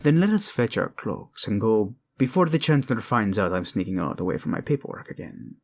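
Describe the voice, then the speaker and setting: An actor playing a protective, lovesick king in a romantic audio drama, speaking tenderly and haltingly with a Spanish accent. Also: lowish voice, big pitch swings. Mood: playful, tender. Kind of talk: intimate romantic audio drama acting addressed to listener.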